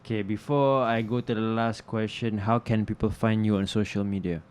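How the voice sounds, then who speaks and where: A man hosting a casual interview, relaxed and chatty, asking long questions. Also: fairly low pitch, moderate pace, clean tone. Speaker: interview host.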